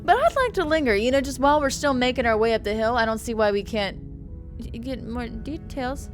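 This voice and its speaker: A woman's voice: a little high, lively intonation, brisk, soft background music. Joking, rambling young woman gamer. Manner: rambling, joking, stammering restarts. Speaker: streamer playing a game. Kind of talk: casual gameplay commentary.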